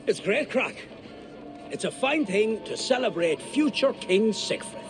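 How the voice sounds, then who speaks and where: An actor playing a friendly, gossipy Irish tanner with an Irish accent in a video game. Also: male voice, high-pitched, very animated, a little slow, music in the background. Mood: chatty. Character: chatty Irish tanner, common townsman.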